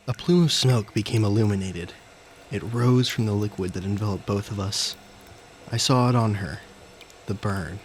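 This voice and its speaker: A man giving scripted first-person dramatic narration in deliberate, short phrases. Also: lowish voice. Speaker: narrator and character of an audio story. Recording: faint background noise and ambience.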